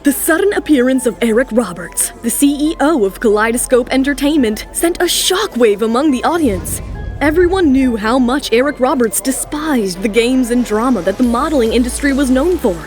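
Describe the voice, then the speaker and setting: A woman's voice: high-pitched, animated, normal speed, darkish tone, faint music behind. A woman narrating a scripted romantic drama as a storyteller.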